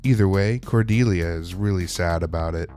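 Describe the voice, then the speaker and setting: Wry low-voiced man recapping. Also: normal speed, warm tone, soft background music. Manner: wry, joking, colloquial. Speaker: host of a TV episode recap show. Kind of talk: casual recap narration with wry asides.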